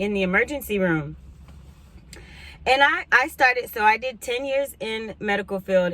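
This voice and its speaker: A woman, chatting casually to camera as a couponing vlogger, rambling through asides and clearing her throat now and then. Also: medium pitch, a bit bright, no room sound. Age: 40s. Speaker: couponing YouTuber.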